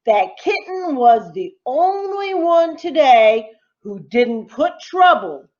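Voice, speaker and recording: A woman teacher reading a rhyming picture book aloud to children in a deliberate, expressive, sing-song way. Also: high-pitched, dull audio. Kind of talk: expressive storybook read-aloud in rhyme.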